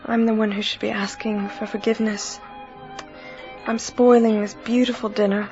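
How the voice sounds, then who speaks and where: An actress playing an earnest, gracious young bride in a radio drama, with a deliberate, heartfelt manner. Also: female voice, fairly high pitch, little pitch movement, a little mellow, natural voice. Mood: tender. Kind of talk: period radio drama acting. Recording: dull recording with music behind. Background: music.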